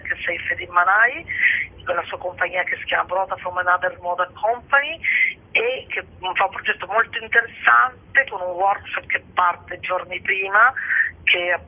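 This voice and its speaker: A woman guest presenting a festival programme in an informal interview, with a husky voice and a hesitant manner, searching for words. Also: on the low side. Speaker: festival co-director, radio guest. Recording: dull, band-limited phone-like radio sound.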